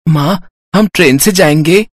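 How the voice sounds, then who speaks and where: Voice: mid-range voice, measured pace, slight background noise, close to an everyday voice. An adult performer voicing an innocent, plaintive six-year-old boy for a cartoon story. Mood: eager.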